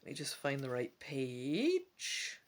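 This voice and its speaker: A woman's voice: rumbling low, slow pace, a little hiss or hum. A woman hosting a gamebook let's play, switching between casual, chatty asides and dramatic reading aloud. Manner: chatty, animated, self-questioning asides.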